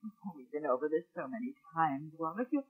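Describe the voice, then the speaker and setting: An actress playing an indulgent, dismissive wife and busy producer in a 1940s radio drama, with an expressive, airy manner. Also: female voice, sits low, brisk, breathy edge. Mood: weary, dismissive. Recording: muffled, band-limited old radio recording.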